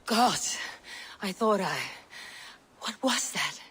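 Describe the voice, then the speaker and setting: A woman's voice: mid-range voice, lively intonation, slow pace, fairly bright tone, husky, full character voice. A woman playing a troubled, searching Norse warrior in a video game, speaking earnestly and dramatically. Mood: shaken, recounting. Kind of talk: video game cutscene acting. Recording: outdoor ambience noise behind.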